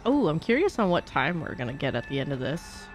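A woman's voice: low voice, sing-song, a little fast, faint music behind. A woman streamer giving casual, playful live gameplay commentary, chatting along and answering her viewers. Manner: chatty, playful, reading and answering chat aloud.

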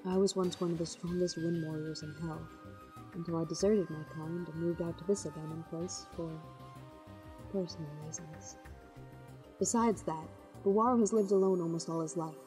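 A woman voicing a solemn, world-weary female wind demon in a fan radio play, grave and measured. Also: fairly low pitch, steady tone, over music, natural voice. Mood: explaining.

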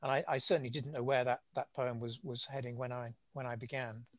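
A man's voice: medium pitch, level tone, a little fast, a bit bright. A male poet on a video call, explaining his writing in informal, conversational talk. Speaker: poet answering questions. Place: indoors at home, on a group video call.

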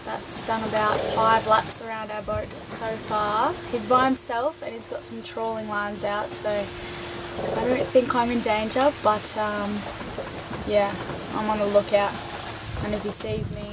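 A woman's voice: medium pitch, flat delivery, measured pace. A young woman in her twenties filming herself in a boat cockpit, playful and self-mocking. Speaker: sailing vlogger. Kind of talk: casual video diary. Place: in a sailboat cockpit out at sea. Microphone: handheld camera at arm's length. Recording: loud wind and boat noise.

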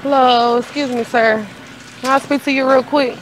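A woman's voice: high voice, fairly even intonation, normal speed. A young American woman talking casually as a guest prankster on location. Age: young adult. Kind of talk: casual chat and prank confrontation. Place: outdoor lot at night, large store aisle. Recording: noisy parking lot and store.

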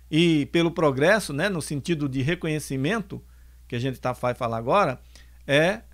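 A man in his sixties giving a calm spoken lecture on family, explaining points and repeating them, into a close microphone in a home studio. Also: a little high. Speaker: spiritist lecturer and singer. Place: home studio, bookshelves behind. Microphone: orange foam mic on stand, close.

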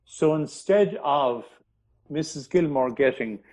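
A man, a historian, telling history in an interview, pausing often with ums and restarting his sentences. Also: on the high side, lively intonation, darkish tone. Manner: hesitant, many ums and restarts. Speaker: historian guest.

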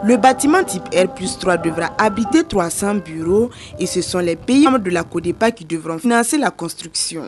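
A woman reading a scripted TV report in a formal, even way. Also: lowish voice, moderate pace, few pauses, soft background music.

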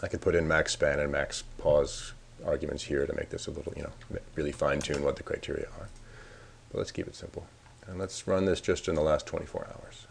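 A man's voice: rumbling low, fairly even intonation, fairly quick, gravelly, slightly rough. A man narrating a casual screencast tutorial as the presenter, informally thinking aloud as he works. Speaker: software presenter giving a demo.

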